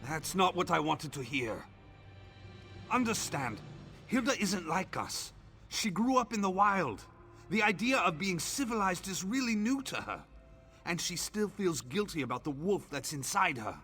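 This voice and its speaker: Guy acting as earnest blunt protective fantasy game ally, sharp lively voice, faint music. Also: sits high, unhurried, heavily acted. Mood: worried, earnest. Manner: forceful, blunt.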